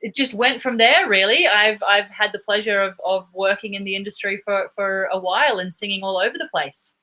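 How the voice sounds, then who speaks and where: Australian woman guest on a video call, measured and thoughtful, bright tone. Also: medium pitch, poor audio. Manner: thoughtful, measured, with small restarts. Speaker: guest, performance psychologist. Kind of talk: casual video-call interview.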